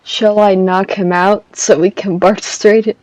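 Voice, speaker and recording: Unhurried woman streamer, dry close sound. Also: medium pitch, flat delivery, darkish tone, no room sound, poor audio. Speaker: game streamer. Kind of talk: playthrough commentary.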